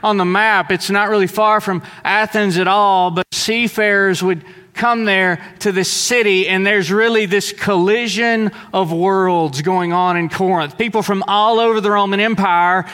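A man's voice: high voice, steady tone, a little slow, bright, forceful tone, clear, resonant tone. Guy from southeast texas preaching, chatty and loose, long church hall reverb. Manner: conversational, loose preaching with restarts. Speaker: pastor. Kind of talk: sermon. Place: church sanctuary.

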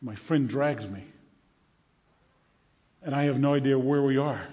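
A man playing an excitable blind beggar of ancient Jerusalem in a dramatic first-person monologue, halting and repeating himself, swinging between hushed and loud. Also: mid-range voice, close to an everyday voice. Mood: hushed, awed. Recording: echoey large hall, slightly muffled.

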